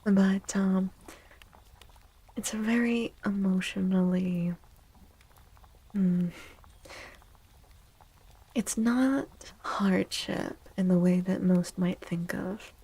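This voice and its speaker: A woman streamer giving a soft-spoken ASMR tarot reading, gentle and unhurried, pausing between phrases and often slipping into a breathy whisper. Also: medium pitch, steady tone.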